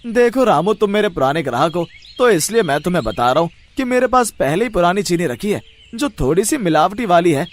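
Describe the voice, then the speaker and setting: Man acting a high-pitched, coaxing shopkeeper. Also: sing-song, fairly quick. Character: friendly, persuasive grocery shopkeeper.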